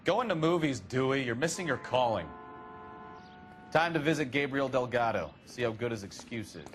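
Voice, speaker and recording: An actor playing a gruff, sardonic 1940s police detective in a video game, dismissive in manner. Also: male voice, mid-range voice, expressive, moderate pace, lightly acted. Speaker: police detective partner character. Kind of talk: video game cutscene acting. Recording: engine and street noise behind voice.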